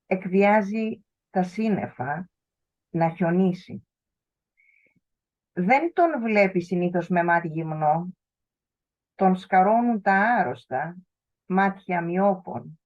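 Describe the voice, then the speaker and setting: A woman with a low voice reads her own poetry in a slow, deliberate way, letting each line settle. She is a native Greek speaker. The sound is dull and lo-fi, the way a video call sounds. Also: animated, a little mellow. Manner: slow, measured recitation. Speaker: poet. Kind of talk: poetry reading.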